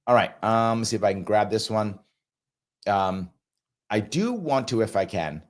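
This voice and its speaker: A man's voice: on the low side, expressive, normal speed. Blunt, emphatic man with a lingering cough. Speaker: political talk show host. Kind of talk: livestream commentary reading viewer comments.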